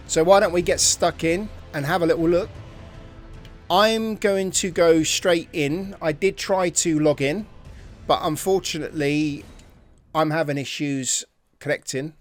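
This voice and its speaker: A man giving casual, chatty commentary as he plays a video game, thinking aloud and sometimes trailing off into mutters. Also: fairly high pitch. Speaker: gaming channel host. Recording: faint game music behind.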